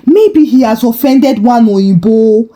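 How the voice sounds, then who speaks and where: A woman's voice: on the high side, slow, warm tone. Woman playing a melodramatic, scandalised compound matron. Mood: suspicious.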